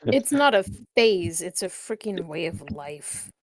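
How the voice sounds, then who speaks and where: A woman co-hosting a casual podcast chat, adding quick, playful quips. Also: lowish voice, big pitch swings, no room sound, low-quality recording. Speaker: podcast co-host.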